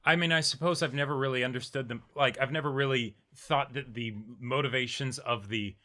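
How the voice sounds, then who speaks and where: Bright-voiced man in casual discussion. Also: mid-range voice, fairly even intonation, a little fast. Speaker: co-host of a reaction show. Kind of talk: casual chat, anime discussion.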